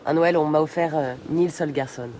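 A woman's voice: low voice, fairly even intonation, normal speed, mellow, a little hiss or hum, close to an everyday voice, low-quality recording. Reflective, wistful woman polar researcher played by an actress. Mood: wistful, reminiscing. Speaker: actor in radio drama. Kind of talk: naturalistic French radio drama acting.

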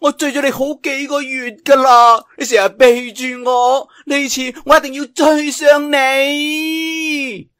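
A man's voice: extremely high pitch, little pitch movement, slow, fairly bright tone, dry recording, studio quality, voice pushed up, heavily acted. A man voicing a plump, feisty, lovesick young swordswoman in a Cantonese audiobook, scolding in an imperious, coquettish way. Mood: pleading, determined.

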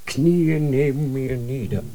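An actor playing a stern elderly grand inquisitor in a radio drama, grave and commanding. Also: male voice, mid-range voice, takes it slowly, mellow, a little hiss or hum. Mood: solemn.

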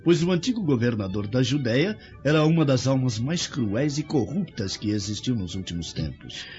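A male actor as a young Roman nobleman, courteous and earnest, declaiming with lively melody at a medium pitch. It is a radio drama, slightly muffled, with faint music underneath. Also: normal speed, lightly acted. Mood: formal, earnest. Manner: formal, emphatic declamation.

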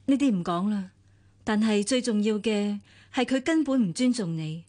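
A woman's voice: mid-range voice, lively intonation, moderate pace, warm tone, close to an everyday voice. A woman playing a jealous, composed companion. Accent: Hong Kong Cantonese. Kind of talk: radio drama acting.

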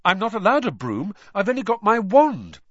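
Guy doing a stressed teenage boy wizard for an audiobook, high n swoopy, touchy, exasperated. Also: huge pitch swings, moderate pace, fairly bright tone, slightly rough, dry recording, highs cut off, full character voice. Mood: glum, protesting. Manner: defensive, exasperated, urgent. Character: anxious, hot-tempered teenage boy wizard.

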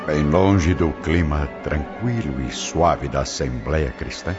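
A man narrating a radio drama in a solemn, measured way. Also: very deep voice. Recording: slightly muffled with loud background music.

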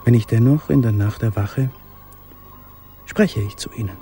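An actor playing a sorrowful young letter writer in a radio drama, measured, earnest and intimate. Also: male voice, mid-range voice, dark tone, soft background music, lightly acted.

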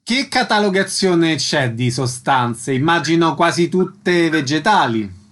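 A man's voice: fairly high pitch, lively intonation, measured pace, fairly bright tone. A man working as a radio interviewer, reading prepared questions and announcements.

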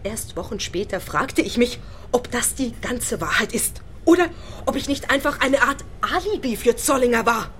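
High-voiced, earnest stage actress. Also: female voice, lively intonation, normal speed, fairly bright tone, slight background noise. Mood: troubled. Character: earnest, theatrical actress, proud of her craft. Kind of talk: German-language radio play acting.

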